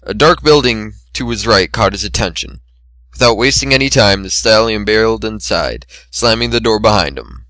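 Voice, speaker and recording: A man narrates a story as a dramatic reading. His voice is bright and forceful, pitched a little low, and the recording is dry and close. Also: lively intonation, normal speed, no echo. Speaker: amateur reader of fan fiction.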